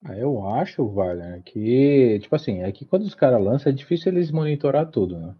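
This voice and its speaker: A man's voice: mid-range voice, expressive, a little fast, slightly rough. A man co-hosting a casual podcast chat, talking hesitantly with many restarts. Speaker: podcast co-host. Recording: dull lo-fi recording.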